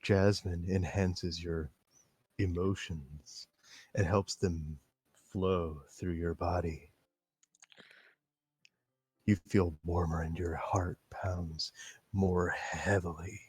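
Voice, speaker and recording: A man voicing a shy, refined teenage girl in a comedic staged reading of a visual novel, speaking slowly and in a hush. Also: sits low, fairly even intonation, slightly rough, no echo, full character voice.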